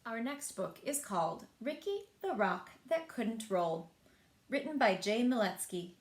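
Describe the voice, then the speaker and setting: A woman reading picture books aloud in an expressive way, speaking directly to young listeners and asking them questions. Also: a little high, unhurried. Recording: echoey room.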